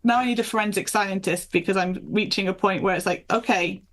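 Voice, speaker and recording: A woman's voice: medium pitch, steady tone, fairly quick. Chatty woman in a casual interview. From north of England and Michigan, USA. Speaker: author being interviewed.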